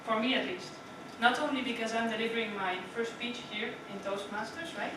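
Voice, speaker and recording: A woman's voice: fairly high pitch, steady tone, a little fast. Dutch woman giving a speech in an echoey room. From Netherlands. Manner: chatty, asks the audience questions, often adds "right?". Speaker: club speaker. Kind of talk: prepared public speech. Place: meeting room. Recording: echoey room from far off with noise.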